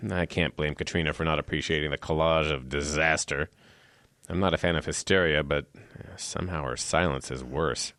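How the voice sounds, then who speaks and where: An actor playing a stubborn, reassuring boyfriend in an audio drama, with an emphatic, expressive delivery. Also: male voice, extremely low pitch, normal speed, dry recording, heavily acted. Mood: rueful narration. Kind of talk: audio drama acting with inner-monologue narration.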